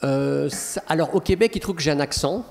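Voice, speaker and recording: A Belgian man with a Belgian French accent talks in a loose, rambling way, often restarting his sentences. His voice sits slightly high for a man. The long reverb of a lecture hall trails after his words. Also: animated, brisk, gravelly, a little mellow, slight background noise. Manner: chatty, frequent restarts and "voilà". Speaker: guest speaker, humorist. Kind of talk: relaxed anecdotal talk answering questions.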